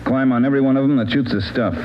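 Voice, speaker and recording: A man playing a gruff, demanding American police chief in a 1940s radio drama, curt and commanding. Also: mid-range voice, racing, dull audio, slight background noise, close to an everyday voice. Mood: stern, urging. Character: gruff, demanding male police chief pressing his men. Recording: band-limited 1940s radio recording, faint music.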